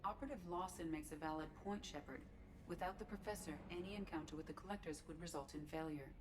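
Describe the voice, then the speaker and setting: A woman voicing a logical shipboard artificial intelligence in a video game, measured, formal and detached. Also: on the low side, background noise, lightly acted. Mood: calm, analytical. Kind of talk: video game dialogue acting.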